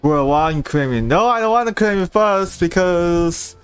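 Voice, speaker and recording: Casual male streamer over clear game music. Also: high voice, expressive, normal speed. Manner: informal, muttering to himself. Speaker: game livestreamer. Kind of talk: casual livestream chat over gameplay.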